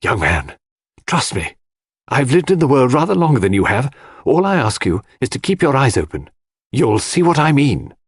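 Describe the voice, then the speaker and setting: An older woman of blunt speech and fierce loyalty, acted out by a male reader. She presses her warnings in short, emphatic phrases with very animated intonation. It is audiobook dialogue, captured with a dry, close sound. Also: medium pitch, moderate pace, husky, no room sound, voice pushed up. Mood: insistent warning.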